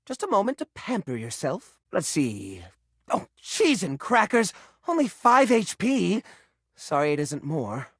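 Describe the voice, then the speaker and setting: A woman voicing an eager, bookish, nervous but brave healer in a video game, speaking in an earnest, excitable way. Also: fairly low pitch, a little slow, breathy edge, no room sound, studio quality, exaggerated voice. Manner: earnest, excitable, wholesome exclamations. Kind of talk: video game character acting, tabletop role-play.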